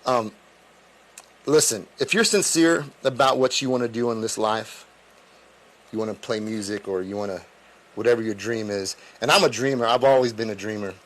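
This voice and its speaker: A man's voice: fairly low pitch, moderate pace, fairly bright tone. Earnest man in his late forties. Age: 40s. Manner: earnest, confiding, with frequent restarts. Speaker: musician and vlogger. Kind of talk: candid talk to camera.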